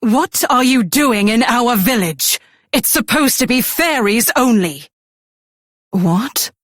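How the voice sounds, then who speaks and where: A woman's voice: on the high side, animated, slow pace, fairly bright tone, no echo, clean studio sound, full character voice. A woman voicing a proud, grumpy fairy mother in a video game, curt and disdainful. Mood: scornful. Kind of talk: video game character voice acting.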